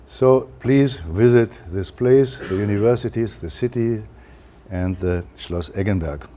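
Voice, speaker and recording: A man with a German accent, a native German speaker giving a university lecture in a careful, halting way with frequent fillers. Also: on the low side, dark tone, slight background noise. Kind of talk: academic lecture.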